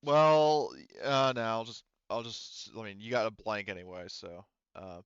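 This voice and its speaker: Guy game mastering, kinda low smooth voice, hesitant, thinks out loud, slightly muffled dry audio. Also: no echo. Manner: hesitant, halting, thinking aloud. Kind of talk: casual tabletop game running, explaining rules.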